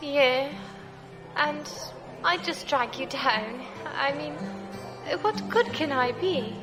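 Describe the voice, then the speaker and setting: A self-doubting female runaway in a sci-fi audio drama. Her voice is high, and she talks slowly and haltingly in a timid way. Music plays behind her. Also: low-quality recording. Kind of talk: science fiction audio drama acting.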